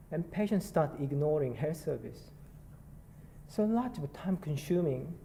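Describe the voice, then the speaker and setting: A man with a Korean accent giving a conference talk, halting and self-deprecating with many fillers. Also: fairly high pitch, dark tone. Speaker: nurse presenter. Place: conference hall. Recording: reverberant hall, faint background ambience.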